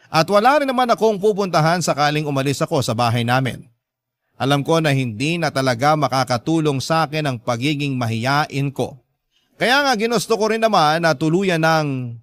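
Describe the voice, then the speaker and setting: A shy young Filipino narrator telling his own story in a radio drama. The voice is fairly high and very dry. He speaks in an earnest, confiding way. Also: a bit bright, dry recording. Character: shy young man confiding his hard family life by letter.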